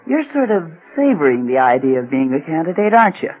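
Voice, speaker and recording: An actress playing a brisk, teasing, forthright woman politician in a radio drama, direct and wry. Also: sits low, very animated, narrow, tinny audio. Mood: probing, playful.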